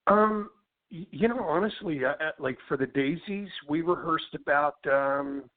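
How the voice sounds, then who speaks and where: A man's voice: fairly high pitch, measured pace. A man from Philadelphia with a Philly accent, a rock singer chatting casually in an interview, rambling with lots of fillers and restarts. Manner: rambling, many fillers and restarts, says "you know what I mean". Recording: tinny phone line.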